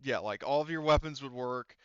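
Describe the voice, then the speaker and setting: A man acting as game master, explaining a tabletop game casually in halting, rambling stretches over voice chat. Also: medium pitch, fairly bright tone, highs cut off.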